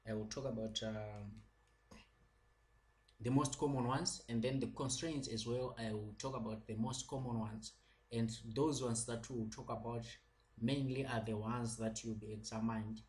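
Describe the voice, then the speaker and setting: A man lecturing slowly and patiently over slides. His pitch sits in an ordinary middle range, and he repeats points often and leans on many fillers. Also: darkish tone. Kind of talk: slow recorded lecture.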